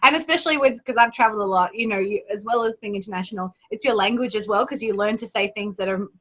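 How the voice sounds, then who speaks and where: A woman's voice: mid-range voice, fast talker, a bit bright, dull audio. A woman hosting an online summit interview, chatty and enthusiastic over a video call. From Australia. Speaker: summit host.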